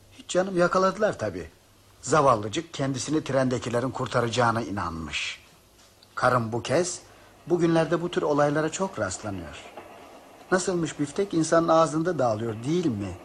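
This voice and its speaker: A man playing a bitterly ironic male train passenger in a radio drama, speaking in a measured way. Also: medium pitch, breathy edge. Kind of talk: Turkish radio drama acting.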